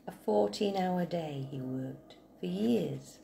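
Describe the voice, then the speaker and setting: A woman recites poetry slowly and deliberately. Her voice is low and mellow, heard in an echoey room. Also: animated, gravelly. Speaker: poetry reader. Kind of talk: slow, measured poetry recitation. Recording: echoey room, faint background hiss.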